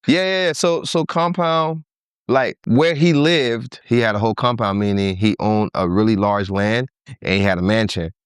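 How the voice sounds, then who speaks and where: A man's voice: lowish voice, normal speed, clear, resonant tone, dry recording, clean studio sound. A man in his thirties from Liberia, a podcast guest telling his life story in a laid-back way and laughing easily. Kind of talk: casual interview conversation.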